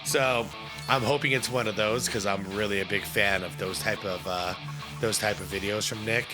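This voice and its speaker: A man with a hoarse voice from a cold, chatting casually with many fillers as he hosts a reaction video. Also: on the low side, fairly even intonation, normal speed, music in the background.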